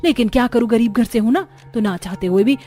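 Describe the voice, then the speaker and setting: A woman voices characters in a cartoon family drama. Her tone is earnest and a little pleading, pitched somewhat high. Faint background music runs under the dialogue. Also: fast, warm tone.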